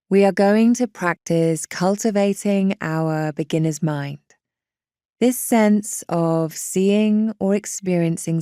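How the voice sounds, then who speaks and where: A woman guiding a meditation in a gentle, reassuring, unhurried manner. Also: lowish voice, clear, resonant tone, no room sound, studio quality. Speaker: meditation guide. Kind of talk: guided meditation narration.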